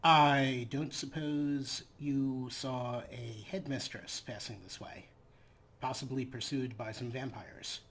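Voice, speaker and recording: A man's voice: medium pitch, steady tone, slow. A man hosting a let's play with casual, jokey commentary and wry asides. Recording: loud game noise behind voice. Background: video game sound.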